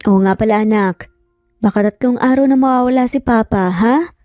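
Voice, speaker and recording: A woman voicing a calm, affectionate but firm male boss and father in a dramatised story. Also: on the high side, normal speed, no room sound, studio quality, faint music behind, close to an everyday voice. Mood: fatherly, gentle.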